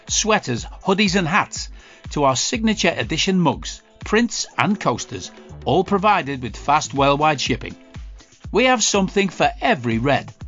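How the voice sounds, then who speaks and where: A man's voice: on the high side, fairly bright tone. A man reading a scripted podcast advert with upbeat salesman patter. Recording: dull lo-fi audio with music bed. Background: faint music.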